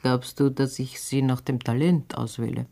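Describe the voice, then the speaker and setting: A commanding woman who runs a theatre in a radio drama. Her voice is deep and dark. She is blunt and sardonic, needling whoever she talks to. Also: fairly even intonation, moderate pace, vocal fry, full character voice. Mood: brisk, sardonic. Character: bossy, sardonic female theatre boss, teasing and blunt.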